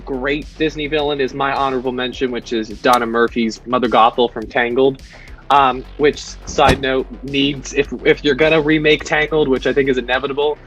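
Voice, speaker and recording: A man's voice: medium pitch, flat delivery, moderate pace, fairly bright tone, highs cut off, soft background music. A man as a guest in a casual chat, talking thoughtfully and giving opinions with side notes.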